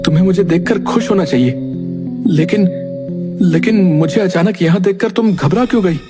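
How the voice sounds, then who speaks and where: An actor playing an earnest, anxious young married son with halting, dramatic delivery in a horror cartoon. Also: male voice, high voice, close to an everyday voice. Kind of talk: Hindi horror cartoon acting. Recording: loud music behind the voice.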